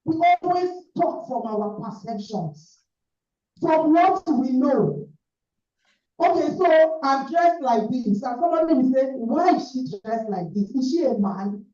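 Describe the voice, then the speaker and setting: A woman's voice: high voice, expressive, a little slow, dark tone. A woman from Nigeria speaking earnestly and emphatically at a club meeting, recorded from across the room. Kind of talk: impassioned remarks at a meeting. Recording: echoey room, distant dull sound.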